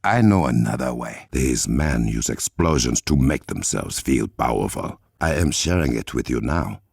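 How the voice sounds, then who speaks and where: A man playing a noble, resolute warrior king in a video game, with an African accent, a deep gravelly voice and formal, measured diction. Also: expressive, darkish tone, no room sound, studio quality, full character voice. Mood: measured, confident.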